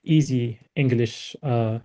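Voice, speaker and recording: Soft-toned Moroccan-born man giving a talk. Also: medium pitch, fairly even intonation, takes it slowly. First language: Tarifit. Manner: many fillers and restarts, heavy use of "like". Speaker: invited speaker. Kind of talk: academic talk presenting slides.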